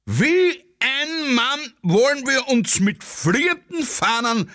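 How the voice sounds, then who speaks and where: A bombastic, muddled Viennese patriot haranguing a street crowd. The voice is high and forceful, swooping theatrically through slow, declamatory phrases. It has the feel of a satirical radio play. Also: male voice, big pitch swings, heavily acted. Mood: bombastic, fervent. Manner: declamatory, pompous. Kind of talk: rabble-rousing speech in satirical radio play.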